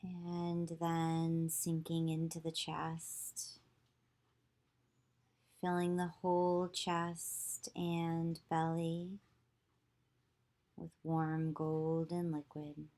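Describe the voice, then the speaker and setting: A woman yoga teacher leading a guided visualization meditation, giving slow, soothing instructions with long pauses. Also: sits low, completely flat delivery, mellow.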